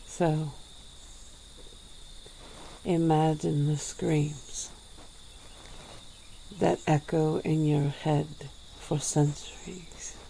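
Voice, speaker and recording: A woman voicing a centuries-old female vampire, calm and regretful, speaking slowly and formally in an ASMR roleplay. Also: low-pitched, vocal fry, a little hiss or hum, heavily acted, rough recording. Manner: slow, measured, formal speech.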